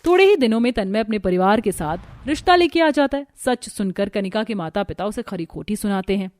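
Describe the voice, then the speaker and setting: A woman's voice: mid-range voice, expressive, brisk, darkish tone. A woman narrating a scripted cartoon family story.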